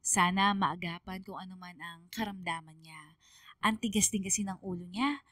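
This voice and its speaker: Distant-miked Filipina narrator, sorrowful storytelling. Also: female voice, lowish voice, moderate pace, no room sound, studio quality. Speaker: letter narrator in a radio drama. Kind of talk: dramatic first-person story narration on radio. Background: soft music.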